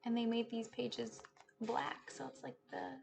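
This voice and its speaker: A woman in her thirties reading a children's story aloud in an expressive, animated way, indoors on a webcam. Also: fairly high pitch, a little slow, vocal fry, music in the background. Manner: animated, hushing and swelling with the story. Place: small living room, indoors. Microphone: webcam at desk distance.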